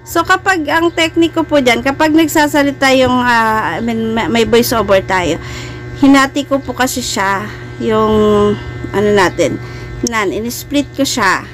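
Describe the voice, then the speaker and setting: High-pitched Filipino woman giving a casual tutorial. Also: unhurried, soft background music. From Philippines. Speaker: host of a video editing tutorial channel. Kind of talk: casual screen-recorded tutorial for beginners.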